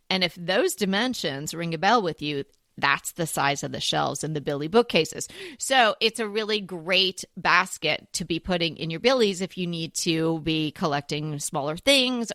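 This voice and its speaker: A woman's voice: lowish voice, a bit bright, dry recording, studio quality. A woman co-hosting a casual home decor chat, describing items in a chatty, enthusiastic way. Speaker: co-host.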